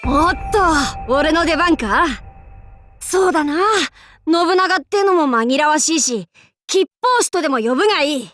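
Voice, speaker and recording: Anime game demon king warlord girl, sharp forceful voice, swooping pitch, dry studio sound, haughty, archaic, bombastic. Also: expressive, measured pace, no echo, soft background music. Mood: cocky, brash. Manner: haughty old-fashioned lordly speech, theatrical battle cries. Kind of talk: Japanese anime mobile game voice acting.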